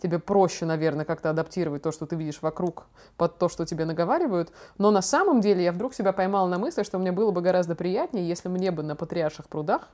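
A young woman talks quickly on a casual podcast. She is chatty and slangy, spinning out stories in an animated, informal way. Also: lowish voice. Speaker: podcast co-host, daughter talking with her father.

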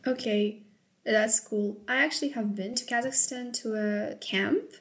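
A woman's voice: on the high side, unhurried. A woman interviewer asking questions in a curious, friendly manner.

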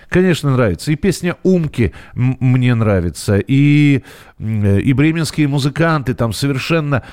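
A man's voice: medium pitch, darkish tone. A middle-aged man hosting a live radio show, chatting casually and directly to listeners in a rambling, hesitant way, often correcting himself. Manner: conversational, addresses listeners directly. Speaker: radio host.

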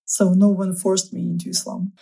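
A woman's voice: lowish voice, little pitch movement, moderate pace, gravelly, mellow, breathy edge. A young woman from Azerbaijan whose first language is Russian, chatting casually as an interviewee and laughing often.

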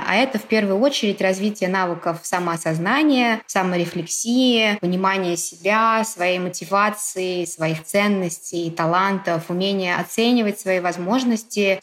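A woman with a mid-range voice hosts a podcast as a career expert. She explains in a measured and reflective way, with a supportive manner toward the people she addresses. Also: medium pitch. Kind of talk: explanatory podcast talk to guests.

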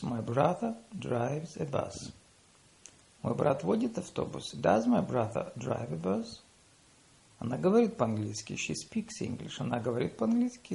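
A man reading paired lesson phrases, saying the English slowly and deliberately after quicker Russian. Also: fairly high pitch, vocal fry, mellow. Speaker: language teacher.

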